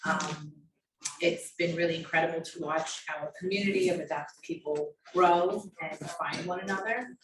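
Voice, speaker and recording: A woman's voice: on the low side, normal speed, background noise. A woman in her thirties, born in Colombia and raised on Long Island, introducing herself hesitantly with many fillers at a workshop. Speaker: workshop presenter. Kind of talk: personal introduction at a conference panel. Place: conference room. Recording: echoey room, distant mic, audience around.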